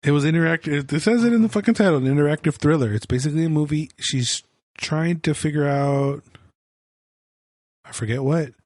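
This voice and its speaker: A man's voice: on the high side, brisk, a little mellow, dry recording, studio quality. A man co-hosting a casual podcast, losing his voice and coughing now and then, blunt and swearing freely. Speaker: podcast co-host. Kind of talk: casual chat.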